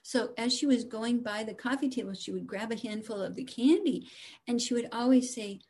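A woman guest storyteller sharing casual personal anecdotes in an animated, humorous way from her home. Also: on the high side, moderate pace, husky.

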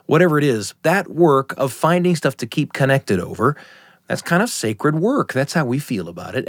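An American man hosting a storytelling radio show, narrating earnestly from a script with dramatic pauses. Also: fairly high pitch, dry recording, studio quality. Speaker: radio host.

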